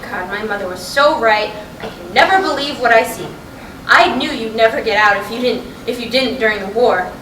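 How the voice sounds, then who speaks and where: A woman's voice: on the high side, moderate pace, a bit bright, close to an everyday voice. A woman playing a frustrated, restless middle-aged wife in a staged play reading, insistent and emotional as she urges her husband on. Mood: bitter regret. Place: roomy hall, staged reading at a college. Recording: distant echoey stage recording with noise.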